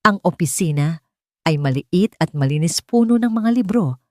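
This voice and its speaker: A woman's voice: lowish voice, lively intonation, normal speed, no room sound, clean studio sound. A woman reading a scripted dramatic story as its narrator.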